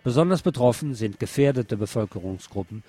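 A man's voice: medium pitch, expressive, brisk, warm tone, slightly rough. A man reading scripted news narration and commentary as a presenter.

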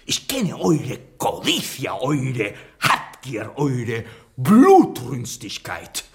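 An actor in a radio drama playing a proud, scornful, hot-tempered Spanish playwright in a haughty, theatrical rant. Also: male voice, medium pitch, huge pitch swings, drawn-out words, slight background noise, full character voice. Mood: contemptuous. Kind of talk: German radio drama acting.

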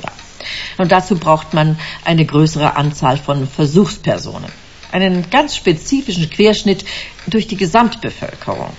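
A woman's voice: low voice, sing-song, normal speed. An actress playing a smug, commanding company director in a German sci-fi radio drama, suave and theatrical, savouring her words. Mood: smooth, lecturing. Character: smug, commanding female corporate director selling a drug. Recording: dull, hissy radio play recording.

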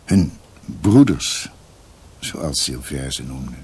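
Very deep, slow, musing storyteller. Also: male voice, big pitch swings, darkish tone, breathy edge, full character voice. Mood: wistful. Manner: measured, pausing, musing. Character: grave, reflective man recounting his family's past. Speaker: narrator. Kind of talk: dramatic storytelling in a radio play. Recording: faint hiss.

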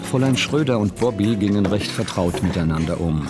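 Schauspieler als tiefer, trockener Erzähler mit Musik. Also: male voice, fairly low pitch, animated, natural voice. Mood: measured. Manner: measured, dry, literary. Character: observant, wry male narrator of a literary memoir. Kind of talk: scripted narration in radio drama. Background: music.

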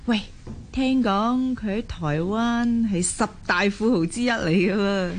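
A woman acting a cheeky, gossipy office colleague in a radio drama, animated and teasing, with a Hong Kong Cantonese accent. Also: mid-range voice, measured pace, a little hiss or hum, lightly acted. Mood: curious, gossipy.

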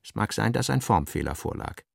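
A man's voice: on the low side, lively intonation, a little fast, gravelly, no room sound, clean studio sound. A man reading scripted literary narration for a radio play.

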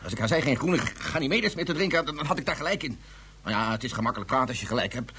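An actor in a radio drama playing an ashamed, quick-tempered father and scientist, speaking with intense self-reproach. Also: medium pitch, lively intonation, bright, forceful tone, slight background noise. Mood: angry. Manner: intense, self-reproaching, halting when moved.

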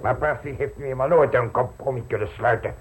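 An actor playing an opinionated gentleman in an old radio drama, speaking in a measured, lecturing way. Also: medium pitch, slightly rough, lightly acted. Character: opinionated gentleman discussing politics. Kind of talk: 1950s radio drama acting.

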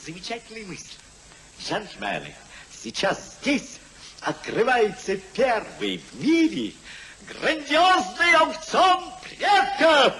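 Carefree young English gentleman, very high, swooping voice, theatrical radio-play delivery, echoey old recording. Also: very animated, takes it slowly, recorded from a distance, noisy background, exaggerated voice. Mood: exuberant. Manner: theatrical, exuberant, declamatory. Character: merry spendthrift young English gentleman host, boisterous and carefree. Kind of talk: 1950s Soviet radio play acting.

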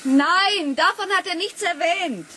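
An actress playing a soothing, gently teasing partner in a science fiction radio play, speaking in an affectionate, coaxing manner. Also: female voice, very high-pitched, takes it slowly, a little hiss or hum, full character voice. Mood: casual.

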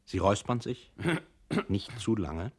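An actor in a radio play voicing a male instructor who earnestly coaches a downtrodden employee in a didactic, deliberate manner. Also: lowish voice, sing-song, darkish tone, husky, exaggerated voice. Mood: recapping. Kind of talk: scripted radio play narration.

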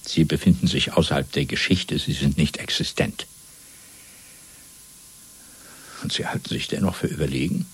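A man playing a cold, fanatical Party interrogator in a radio drama, speaking in a measured, domineering, rhetorical way. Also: extremely low pitch, breathy edge, exaggerated voice. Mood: probing, scornful. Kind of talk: German radio drama acting.

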